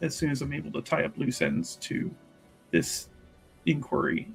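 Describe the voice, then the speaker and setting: A man playing a husky, hesitant fantasy investigator. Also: on the high side, very animated, moderate pace, soft background music, heavily acted. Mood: earnest, wry. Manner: hesitant, musing, repeats and circles back. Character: earnest, wry male investigator in a fantasy world. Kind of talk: tabletop roleplay, improvised in character.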